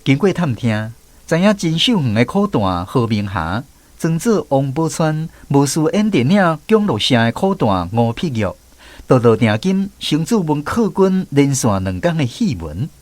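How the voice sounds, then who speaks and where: A man's voice: medium pitch, sing-song, unhurried, a little mellow. A man with a Taiwanese accent narrating a scripted radio story in a folksy way, drawing out his phrases. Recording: music bed under the voice. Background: background music.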